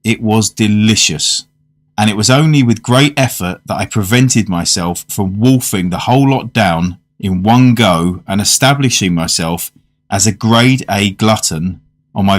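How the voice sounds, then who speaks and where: Guy playing a polite curious gentleman telling his dinner tale in a radio play, lowish voice, formal. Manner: courteous, measured, formal wording. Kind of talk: radio drama narration and dialogue.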